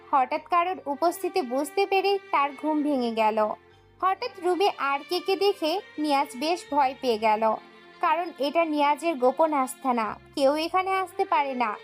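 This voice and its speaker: Woman narrator, very high pitch, scripted dramatic storytelling, faint background music.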